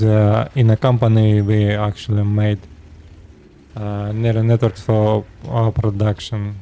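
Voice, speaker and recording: Man in his thirties, Russian accent, unhurried and hesitant, slightly mellow tone, casual vlogger talk. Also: lowish voice, a little hiss or hum. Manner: halting, searching for words in second language. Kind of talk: casual personal talk to camera.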